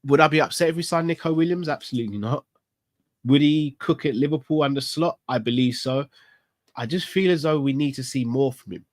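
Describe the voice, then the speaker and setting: A man's voice: fairly high pitch, brisk, no room sound, clean studio sound. A man, congested from a cold, hosting a live fan stream in candid casual chat, thinking aloud with rhetorical questions. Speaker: football fan channel host. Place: small studio set with club decor.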